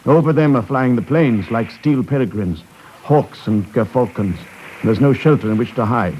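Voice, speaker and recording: An actor playing a gentle, reclusive artist in a 1950s radio play, speaking haltingly and earnestly. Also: male voice, mid-range voice, lively intonation, a little hiss or hum, close to an everyday voice. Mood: earnest resolve. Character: gentle, reclusive crippled artist setting off to war. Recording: dull vintage 1950s radio recording.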